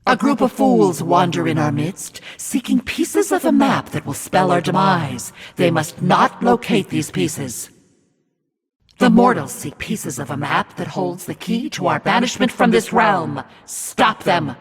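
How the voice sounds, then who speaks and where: A malevolent she-demon rules the undead in a video game. Her voice is extremely husky and rough, drawn out slowly with imperious menace, ringing in a long cavernous reverb. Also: on the low side, animated, exaggerated voice. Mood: menacing, scornful. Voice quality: raspy, husky. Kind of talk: scripted villain game narration.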